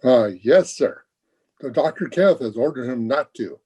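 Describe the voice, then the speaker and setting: A man playing a deferential household servant with a rough voice in an old-time radio drama. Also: mid-range voice, expressive, normal speed, dark tone. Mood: subservient, hesitant.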